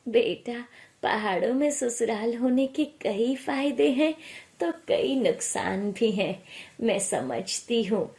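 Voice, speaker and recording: A woman's voice: fairly high pitch, animated, slow, darkish tone. A woman voicing a kindly middle-aged mother-in-law in a story, patient and reassuring. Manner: patient, instructing. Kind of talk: acted storytelling dialogue.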